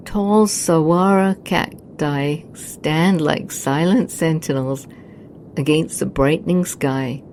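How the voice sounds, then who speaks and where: A woman narrating a scripted guided meditation in a soothing, hushed, unhurried manner. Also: deep voice, a little hiss or hum.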